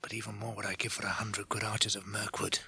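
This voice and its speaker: An actor in a radio drama playing a courtly, wry elf archer with a husky voice. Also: male voice, on the low side, level tone, fast talker, pushed and bright, no echo, full character voice. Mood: wry, fond. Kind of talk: 1980s radio drama acting.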